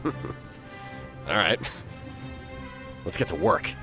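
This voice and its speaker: A sardonic male starship skipper in a sci-fi audio drama, played by an actor. His taunts swing widely in pitch, stubborn and wry, over prominent music. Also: huge pitch swings, very slow, gravelly, full character voice. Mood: serious, resolved.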